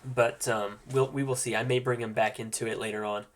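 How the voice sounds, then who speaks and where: A man acting as game master with a congested, nasal voice from a cold, explaining things informally and haltingly as he hosts a tabletop roleplaying game. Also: mid-range voice, fairly even intonation.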